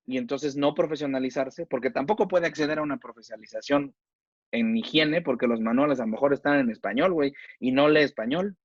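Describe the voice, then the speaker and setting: A man's voice: medium pitch, no room sound, dull audio. A man with a Mexican accent, a chef debating in a casual, slangy way and using plenty of rhetorical questions.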